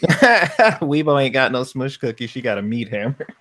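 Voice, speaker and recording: A man's voice: mid-range voice, sing-song, normal speed, a bit bright. A man chatting casually on a group stream, making blunt, crude remarks. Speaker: co-host on the stream.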